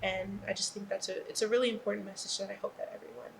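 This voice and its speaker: A woman's voice: medium pitch, level tone, rapid-fire, gravelly. A young woman answers interview questions earnestly in a middling register. Faint noise sits behind her voice. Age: young adult. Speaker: student actor being interviewed.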